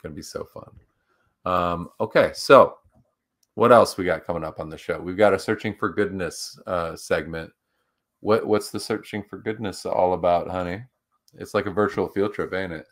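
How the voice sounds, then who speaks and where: A man's voice: low-pitched, moderate pace, a bit bright. A man co-hosting a livestream talk show, chatting casually and rambling with lots of fillers. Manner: rambling, filler-heavy, upbeat. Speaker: co-host.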